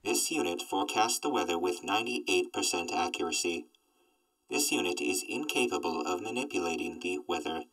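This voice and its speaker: A low-pitched robot, filtered and mechanical. It speaks in stiff, formal phrases with deadpan gloom, like a weary machine in a radio comedy. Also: male voice, moderate pace, low-quality recording. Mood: gloomy deadpan. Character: gloomy, death-wishing weather-forecasting robot. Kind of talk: comedy audio drama acting.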